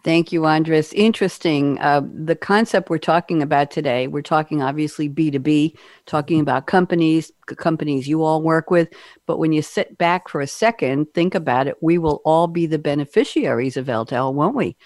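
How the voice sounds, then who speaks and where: A woman's voice: low voice, moderate pace, a little mellow, dry recording. A woman hosting a corporate podcast, chatty and upbeat, asking her listeners rhetorical questions. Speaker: podcast host.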